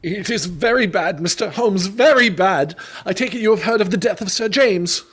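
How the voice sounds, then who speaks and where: A man plays a gruff, middle-aged government clerk in a radio drama, frayed and anxious. His voice is high for a man and husky. He speaks hesitantly, in an agitated manner. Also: normal speed, a bit bright. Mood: distraught. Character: thin, gruff, nervous middle-aged male senior clerk. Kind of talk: radio play acting.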